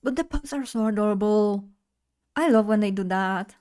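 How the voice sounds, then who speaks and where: A woman's voice: mid-range voice, moderate pace, a little mellow, smooth. A young woman from Poland, chatting bubbly and directly to her live chat as a streamer at a desk mic. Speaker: vtuber streamer.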